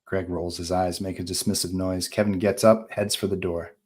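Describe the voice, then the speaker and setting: A man's voice: deep voice, little pitch movement, moderate pace, darkish tone, slightly rough. A man narrating stage directions from a film script at a live table read.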